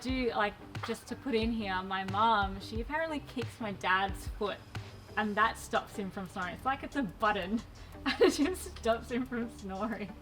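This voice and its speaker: A woman in her twenties giving lively, playful interview answers and laughing often. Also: fairly high pitch, normal speed, far from the mic, soft background music. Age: 20s.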